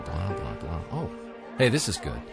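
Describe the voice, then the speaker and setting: Man as wry low-voiced comic private eye. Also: huge pitch swings, moderate pace, creaky, over loud music, exaggerated voice. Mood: playful, smug. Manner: wry, sarcastic. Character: wisecracking, self-pleased male private eye. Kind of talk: noir detective comedy radio drama.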